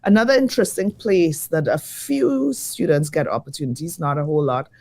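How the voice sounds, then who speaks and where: Woman, design educator, slightly croaky, lively and thoughtful, interview answers. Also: low voice, brisk. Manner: lively, thoughtful, many fillers. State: croaky from jet lag.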